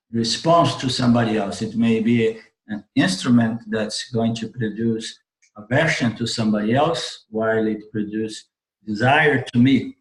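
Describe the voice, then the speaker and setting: Male teacher, video-call lecture, hoarse and throat-clearing, slow halting delivery, echoey room. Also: medium pitch. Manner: halting, restarts phrases, repeats words while explaining. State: strained voice, clears throat often. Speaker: Vedanta teacher. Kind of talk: online class lecture. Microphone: computer mic on a video call.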